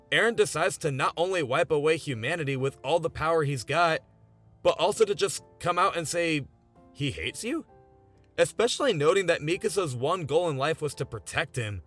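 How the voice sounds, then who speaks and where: A man in his twenties narrating a scripted video essay in an earnest, emphatic way, often posing rhetorical questions. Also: a little high. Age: 20s. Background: background music.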